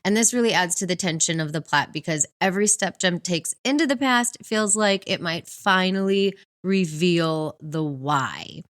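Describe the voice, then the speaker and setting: A woman's voice: fairly low pitch, lively intonation, normal speed, hardly any pauses, fairly bright tone. A woman co-hosting a book podcast, talking conversationally and enthusiastically as she recommends books. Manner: enthusiastic, chuckles often.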